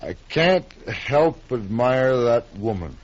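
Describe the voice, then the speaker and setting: A man's voice: mid-range voice, big pitch swings, takes it slowly. A man playing a sentimental drunk in a radio western, rambling with grand admiration. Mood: tipsy, admiring. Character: tipsy, admiring male saloon drinker, sentimental. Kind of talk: old-time radio western acting. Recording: dull lo-fi radio drama recording.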